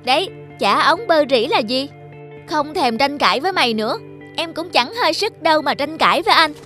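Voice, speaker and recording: A boastful young farmyard rooster voiced by a woman bickers in a high, theatrical cartoon voice. The delivery is quarrelsome and proud, made for children, with light music underneath. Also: lively intonation, fairly quick, bright, forceful tone. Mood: bickering, boastful.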